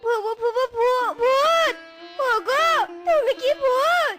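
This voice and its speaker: A performer voicing an excitable fox wife in a cartoon, scolding and exclaiming in horrified wails, with music behind. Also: extremely high pitch, slow pace. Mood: frightened. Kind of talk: cartoon voice acting.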